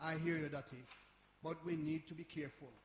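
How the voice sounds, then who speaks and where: An actor playing a principled, indignant older man in a stage play, halting and repeating words as he argues. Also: on the high side, fairly even intonation, crowd noise, lightly acted. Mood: cautious, firm. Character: principled, indignant older man defending a youth community centre. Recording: echoey live hall performance.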